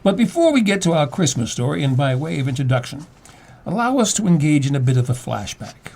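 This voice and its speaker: A man's voice: on the high side, big pitch swings, a little fast, gravelly, darkish tone. A man delivering a scripted introduction as the announcer of a radio play.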